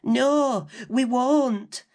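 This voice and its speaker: Man putting on a high-pitched, plucky little girl. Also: extremely slow, exaggerated voice. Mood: concerned, insistent. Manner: high, urgent, expressive. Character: brave, kind, plucky little girl in a storybook.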